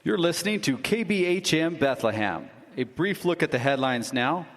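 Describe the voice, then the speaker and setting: An actor playing a radio news announcer in a live audio drama, speaking in formal newscaster style. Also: male voice, on the high side, animated, moderate pace, long reverb tail, a little hiss or hum, natural voice.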